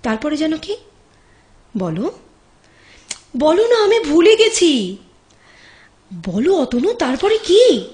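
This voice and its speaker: A woman's voice: sits high, sing-song, slow, long pauses, a little mellow, exaggerated voice. A woman acting a devoted young carer in an audio drama, speaking in a tender, coaxing and impassioned manner. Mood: impassioned urging. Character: devoted young woman caring for her addicted beloved.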